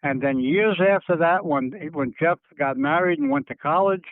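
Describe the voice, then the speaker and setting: Older male interviewee, slightly high-pitched, hesitant and rephrasing as he reflects, thin telephone sound. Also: very animated, gravelly, no echo. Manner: halting, circling and rephrasing, searching for words. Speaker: interviewee recalling family history. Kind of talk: reflective phone interview talk. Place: on a telephone call. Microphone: telephone handset. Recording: tinny narrowband phone line.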